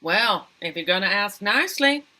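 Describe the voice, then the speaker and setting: A woman's voice: medium pitch, expressive, normal speed, bright, forceful tone, poor audio. A woman voicing a scrappy mother rat in a comedy cartoon script, combative and sarcastic with folksy, slangy speech. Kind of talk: animated comedy table read.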